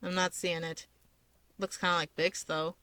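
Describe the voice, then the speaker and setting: A woman puts on a cocky young male wizard from a fan fiction story, with a forceful, bright edge. He is blunt, sardonic and sure of himself, and the sound is dry and close. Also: fairly low pitch, level tone, normal speed, dry recording, voice pushed down. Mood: dry teasing. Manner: blunt, sardonic, self-assured. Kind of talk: fan fiction character reading.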